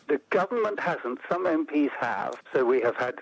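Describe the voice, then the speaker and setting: A man as an interview guest, explaining policy in a measured way and building points into lists. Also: on the high side, lively intonation, vocal fry, no echo, lo-fi sound. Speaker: interview guest, report author. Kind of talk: radio interview.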